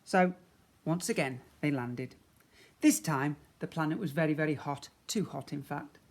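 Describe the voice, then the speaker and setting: A man reads a children's story aloud in a teacherly way. His delivery is expressive, with emphatic phrasing suited to young listeners. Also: a little high, moderate pace. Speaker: primary school teacher.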